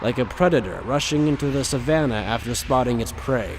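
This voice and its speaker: A man's voice: medium pitch, fairly quick. Male story narrator over background noise. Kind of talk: scripted dramatic story narration.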